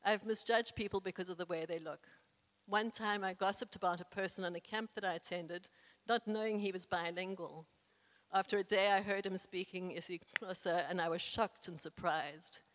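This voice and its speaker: A young woman from South Africa with a South African accent and isiXhosa as her first language, reading her own essay aloud in an earnest, proud, deliberate way. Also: fairly low pitch, steady tone, fairly bright tone. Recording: echoey room with long reverb.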